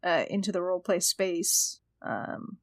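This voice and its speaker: A woman's voice: on the low side, measured pace, no room sound, clean studio sound. A woman who plays on a tabletop role-playing podcast, talking in a casual, chatty way. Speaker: player on an actual-play podcast. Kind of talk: casual chat.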